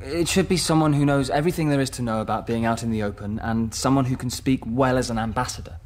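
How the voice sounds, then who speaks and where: A man's voice: mid-range voice, quick pace, natural voice. An actor playing an earnest, visionary male rabbit seer who speaks with solemn certainty in a radio drama. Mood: considered. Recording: music and birdsong behind.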